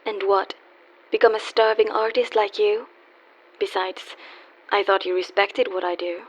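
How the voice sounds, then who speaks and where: A woman's voice: mid-range voice, fairly even intonation, measured pace, lightly acted. A woman playing a wry, world-weary young call girl in a radio play, teasing yet guarded and wistful. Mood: defensive. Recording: dull lo-fi audio with faint hiss.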